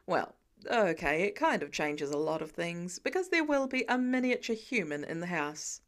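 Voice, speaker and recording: A woman's voice: on the low side, big pitch swings, normal speed, pitched below the performer's own voice. A woman voicing a firm, affectionate, wisecracking father in an audiobook-style fan fiction reading. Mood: firm, reassuring. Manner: firm, casual, teasing.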